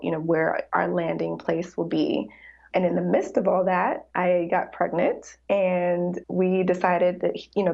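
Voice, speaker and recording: Low gravelly woman, reflective. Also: a little mellow. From United States. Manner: reflective, many "you know" fillers. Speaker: podcast guest. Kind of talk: casual interview chat. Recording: dull lo-fi sound.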